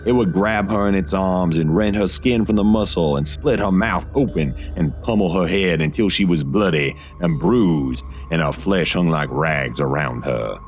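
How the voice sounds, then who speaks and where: A man with a low, deep voice narrates a gothic horror tale. His delivery is dramatic and deliberate, with weighted pauses between phrases. Faint music plays behind him. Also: muffled recording. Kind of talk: scripted gothic horror audio fiction narration.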